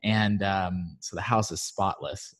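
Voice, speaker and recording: A man from Barbados, a guest on a casual chat show, telling stories in a relaxed way and laughing often, speaking into his own microphone. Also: deep voice, takes it slowly.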